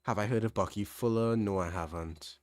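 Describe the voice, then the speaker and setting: A young man with a mild Trinidadian accent answering chat questions on a livestream, relaxed, thinking aloud with restarts. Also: on the low side, brisk, dry recording, clean studio sound. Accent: Trinidadian, fairly standard. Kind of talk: casual livestream Q&A.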